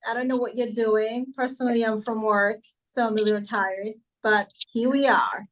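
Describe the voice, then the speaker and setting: A woman's voice: fairly high pitch, steady tone, moderate pace, poor audio. A middle-aged woman with a Ugandan accent, speaking informally and directly to an online audience as she gives a welcome and testimonial. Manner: chatty, conversational, addressing listeners directly. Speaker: speaker introducing a coach at an online financial seminar.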